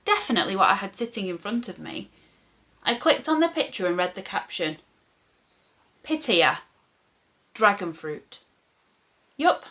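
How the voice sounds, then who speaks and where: A woman reading a children's story aloud as a storyteller, with expressive, animated phrasing for young listeners. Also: medium pitch, a little fast.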